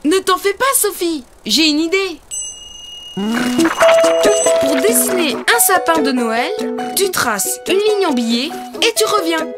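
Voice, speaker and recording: A very high, childlike cartoon voice over prominent music. The young character is cheerful and helpful, guiding children through drawing steps with patient encouragement. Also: lively intonation, slow, pauses often, full character voice. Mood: cheerful, encouraging. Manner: patient, encouraging, clear instructions. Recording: music behind the voice.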